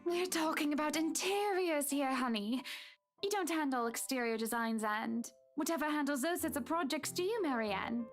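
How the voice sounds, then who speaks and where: A woman's voice: sits high, normal speed, faint music behind, voice pushed up. A woman putting on an airy, pampered rich wife. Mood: breezy. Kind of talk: game character voicing.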